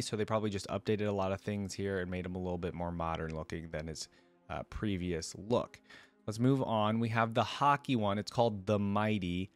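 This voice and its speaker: A man's voice: fairly low pitch, dry recording. A man hosting a reaction video, chatting casually to viewers and thinking aloud as he comments. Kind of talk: casual commentary, reacting to game music and artwork.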